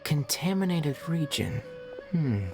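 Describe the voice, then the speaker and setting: An actor voicing a young male forest ranger and healer in a video game, calm and matter-of-fact with a light teasing edge. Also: fairly high pitch, takes it slowly, heavily acted. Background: game music.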